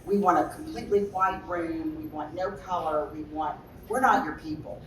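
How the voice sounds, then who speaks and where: A woman's voice: deep voice, normal speed, echoey room, far from the mic, slight background noise, poor audio. A woman interior designer on a trade-show panel, speaking forthrightly in a big voice with many restarts.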